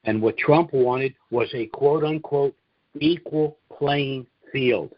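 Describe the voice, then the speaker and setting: A man hosting a conference call, delivering an informal monologue briefing in deliberate phrases with emphatic pauses and a husky voice. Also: medium pitch, dry recording. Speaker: conference call host and presenter. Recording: dull, lo-fi conference call audio.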